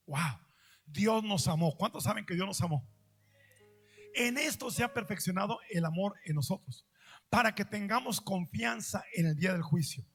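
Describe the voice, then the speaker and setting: A man preaching a sermon, repeating phrases and asking his listeners questions, with loud stressed lines and slow drawn-out quiet words. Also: high voice, vocal fry. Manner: emphatic, repeats phrases, asks the audience questions. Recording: faint music behind the voice.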